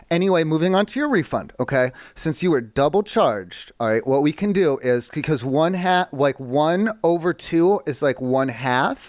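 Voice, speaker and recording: A man playing an evasive, absurdly earnest customer service agent in a prank call, halting, deadpan and pedantic. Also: fairly high pitch, no echo, lightly acted. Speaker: prank caller posing as a complaints phone agent. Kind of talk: improvised prank phone call. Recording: tinny, band-limited phone-show audio.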